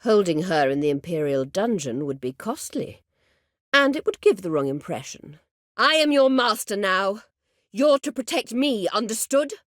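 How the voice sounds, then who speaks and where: An actress playing an imperious, scheming middle-aged sorceress in a video game, speaking formally and with measured, biting sarcasm. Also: female voice, mid-range voice, big pitch swings, no room sound, studio quality, close to an everyday voice. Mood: commanding, angry. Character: imperious, scheming sorceress, proud, sardonic and vengeful. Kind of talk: video game character dialogue.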